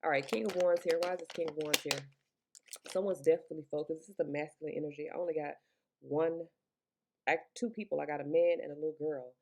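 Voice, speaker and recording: A woman with a deep voice giving a casual, rambling tarot reading. She talks chatty and loose, swears now and then, and records through Bluetooth earbuds. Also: steady tone, quick pace, darkish tone, rough recording. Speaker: tarot reader.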